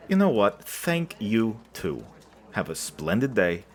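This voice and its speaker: An actor playing a blunt, sarcastic, folksy blind key maker with a gravelly voice in an audio drama. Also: male voice, lowish voice, sing-song, slow, exaggerated voice. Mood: chatty, wry. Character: gruff, sardonic blind male key maker, chatty and blunt.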